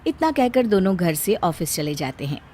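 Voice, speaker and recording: A woman's voice: lowish voice, sing-song, moderate pace, dark tone, a little hiss or hum. A woman storyteller reading a scripted family tale as narration.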